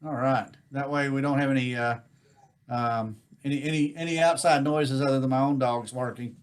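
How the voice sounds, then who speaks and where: An American man speaking informally as host of a virtual club meeting, giving announcements in a halting, rambling, folksy way. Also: medium pitch, steady tone, clean tone. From United States. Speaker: Rotary district governor hosting online club meeting. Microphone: video call.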